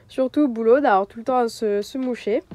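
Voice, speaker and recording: A woman's voice: on the high side, normal speed, darkish tone. A young woman vlogging casually into her phone from her camper van, chatty and hesitant, her nose stuffed up from a cold. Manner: chatty, hesitant with many fillers. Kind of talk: casual vlog chat to camera. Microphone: phone mic.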